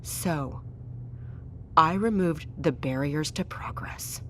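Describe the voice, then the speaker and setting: A woman's voice: low voice, slow, background noise. A woman playing a defiant, self-justifying doctor accused of treason in an audio drama, argumentative and articulate. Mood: quiet, bitter.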